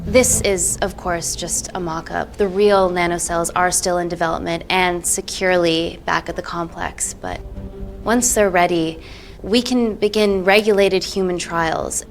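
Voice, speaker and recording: A composed woman doctor with a lowish voice, giving a polished corporate pitch in an interactive film. She is formal, measured and diplomatic, with faint music underneath. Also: fairly even intonation. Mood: earnest. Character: poised woman doctor pitching research to investors.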